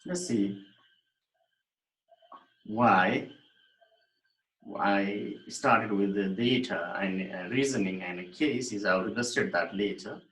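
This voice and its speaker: A man, lecturing on a recording in a fairly low voice. His explanations are thoughtful and broken by fillers and restarts, and the room adds a noticeable echo. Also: slow, pauses often, a bit bright. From Nepal. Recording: echoey room.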